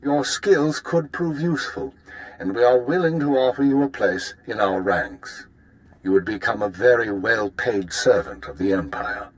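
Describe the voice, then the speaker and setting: An actor playing a composed, authoritative imperial spymaster in a video game, speaking in a formal, measured way. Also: male voice, on the low side, expressive, lightly acted, low-quality recording. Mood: formal, persuasive. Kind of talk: video game character dialogue.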